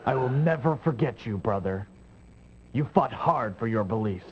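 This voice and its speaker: A man's voice: medium pitch, animated, normal speed, natural voice. Man playing a grieving emperor, muffled audio. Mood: sorrowful. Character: grieving victorious emperor beside his dying brother. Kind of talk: melodramatic video game acting. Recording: dull, noisy radio-like game audio.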